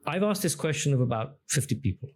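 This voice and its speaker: A man's voice: medium pitch, animated, fast, slight background noise. A middle-aged man who grew up speaking Greek, talking as a podcast guest and explaining his ideas at length with questions and examples. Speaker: podcast guest, engineer and app founder. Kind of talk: conversational interview.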